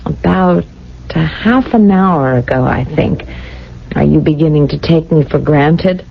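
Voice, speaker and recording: An actress playing a tender, wistful wife from a regimented future society in a radio drama, speaking earnestly in short, halting phrases. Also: female voice, sits low, vocal fry, a little hiss or hum. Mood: teasing, tender. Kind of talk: 1980s radio drama acting. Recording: dull vintage radio broadcast with music.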